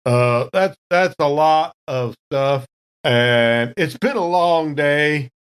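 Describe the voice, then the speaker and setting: Halting, understated man narrating casually. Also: fairly high pitch, clear, resonant tone. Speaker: player in a role-play podcast. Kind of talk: casual third-person tabletop narration.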